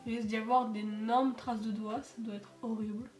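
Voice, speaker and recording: A woman's voice: a little high, flat delivery, moderate pace, reverberant, faint music behind. A teenage girl with a cold and a stuffy nose, chatting casually through a makeup tutorial with lots of fillers. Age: teens. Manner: chatty, rambling with many fillers. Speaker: beauty vlogger. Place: home.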